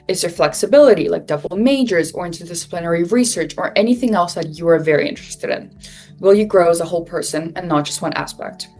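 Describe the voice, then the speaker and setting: A woman's voice: on the low side, a little mellow. A young woman from Russia who grew up in Switzerland, a college student explaining admissions in a webinar with frequent fillers. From Russia, raised in Switzerland. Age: young adult. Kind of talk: webinar presentation.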